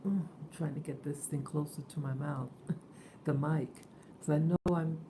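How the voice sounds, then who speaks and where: A woman just awake, hoarse and soft-spoken, talking hesitantly in a casual morning vlog. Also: low voice, steady tone, measured pace, noisy background. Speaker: vlogger.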